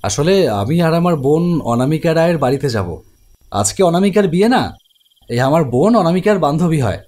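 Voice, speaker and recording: A protective elder brother narrating his own story. His slightly high voice moves in lively rises and falls, brisk and teasing with his sister and reassuring to others. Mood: polite. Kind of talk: animated ghost story narration and dialogue.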